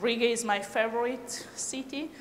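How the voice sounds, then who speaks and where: A woman's voice: on the high side, steady tone, moderate pace. A middle-aged woman from Kyrgyzstan giving a conference talk, hesitant with many fillers and non-native phrasing, recorded in a large lecture hall. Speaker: conference speaker. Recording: echoey hall with reverb, faint noise.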